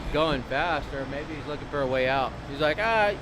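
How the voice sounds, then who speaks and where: A man's voice: fairly high pitch, animated, normal speed. A man chatting casually on the job outdoors by a roadside, with a folksy, colloquial way of talking. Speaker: vlogger at a truck wreck recovery. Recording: very loud outdoor traffic and vehicle noise.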